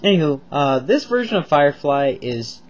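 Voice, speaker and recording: A man's voice: fairly high pitch, sing-song, moderate pace, highs cut off. A man with a cold reviewing toy figures in a casual home video, rambling and enthusiastic with lots of ums and repeats. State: stuffed up with a cold, coughing.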